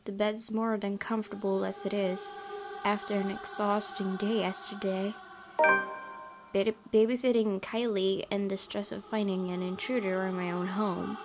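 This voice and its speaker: A woman hosting a gaming video, reading visual novel narration aloud in an expressive storytelling way. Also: mid-range voice, normal speed, over music. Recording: clear background noise.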